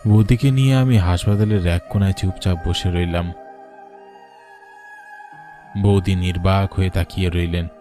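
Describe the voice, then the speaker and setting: A man's voice: low-pitched, dark tone. A man voicing a devoted younger brother who narrates a cartoon story in an emotional, literary, sorrowful way. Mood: somber. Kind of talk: dramatic first-person cartoon narration.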